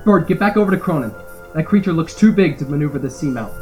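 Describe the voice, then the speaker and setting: A man acting in an amateur audio drama as a practical, protective, teasing diver, casual and brisk. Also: on the high side, animated, mellow, faint music behind, close to an everyday voice. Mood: concerned.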